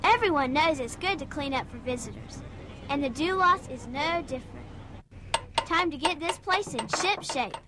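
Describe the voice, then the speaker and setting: High, chatty kids'-show narrator woman. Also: animated, normal speed, noisy background. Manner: bright, chatty, kid-friendly. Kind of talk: scripted voice-over narration. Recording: voice-over over background music.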